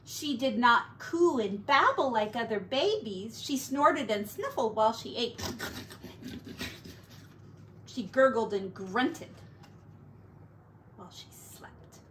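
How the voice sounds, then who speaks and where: A woman teacher reading a picture book aloud to children, slow and expressive. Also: on the high side, a little hiss or hum, rough recording.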